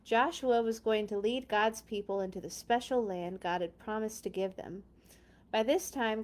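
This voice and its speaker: A woman hosting a children's read-along, reading a storybook expressively with chatty, playful asides and dramatic emphasis. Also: mid-range voice.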